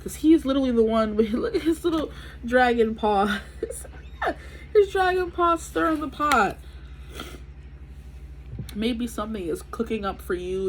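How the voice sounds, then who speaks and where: A woman's voice: mid-range voice, slight background noise, low-quality recording. A woman in her twenties giving a chatty, rambling tarot reading with a stuffy nose from allergies. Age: 20s. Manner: chatty, rambling, many fillers. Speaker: tarot reader. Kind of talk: casual tarot reading to camera.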